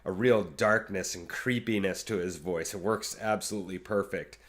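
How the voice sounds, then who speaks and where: A man with a low voice giving rambling, casual commentary on music, with many fillers and restarts. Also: moderate pace. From Kansas City area. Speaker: YouTube reaction host, musician.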